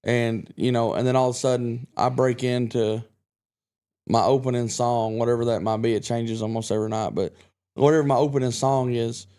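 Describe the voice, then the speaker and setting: A man's voice: medium pitch, steady tone, fairly quick, mellow, clean tone. Self-assured Kentucky-raised man, podcast guest. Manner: self-assured, rambling with restarts. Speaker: guest country singer. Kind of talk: casual podcast chat.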